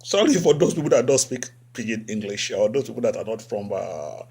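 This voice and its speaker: A man's voice: brisk, gravelly, slightly rough. A Nigerian man hosting a satirical talk show, commenting with sarcasm and repeating phrases for effect. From West Africa. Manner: sarcastic, repeats phrases, animated swings up in pitch. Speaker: host.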